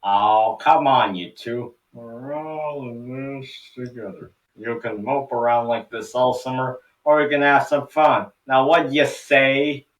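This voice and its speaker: A man's voice: mid-range voice, fairly even intonation, a little slow, few pauses, roomy sound, distant mic, voice pushed up, natural voice, low-quality recording. A man playing a hearty, jovial grandpa. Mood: coaxing, upbeat. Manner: jovial, encouraging. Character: cheerful, hearty grandfather on a summer trip.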